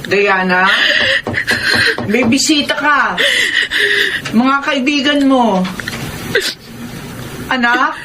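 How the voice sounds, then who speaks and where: A woman's voice: high-pitched, drawn-out words, long pauses, noisy background. A woman with a Filipino accent playing a caring, worried middle-aged mother in a radio drama. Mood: gentle concern.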